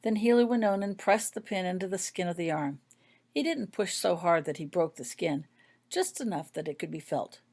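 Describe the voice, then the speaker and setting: Female author-narrator for scripted fiction, pitched a little low. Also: expressive, normal speed, darkish tone. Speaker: author reading own fan fiction.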